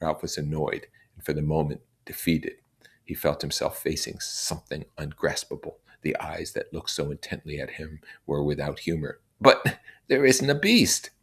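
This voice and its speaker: A man's voice: a bass voice, monotone, moderate pace, slightly rough. A man reading a book aloud expressively, adding casual comments as he goes. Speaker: reader.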